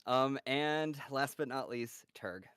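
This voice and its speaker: A man's voice: mid-range voice, slow, a bit bright, smooth, dry recording, clean studio sound. A man hosting a live-stream table read and Q&A, chatting casually and laughing often. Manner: laughs often, friendly wrap-up plugs.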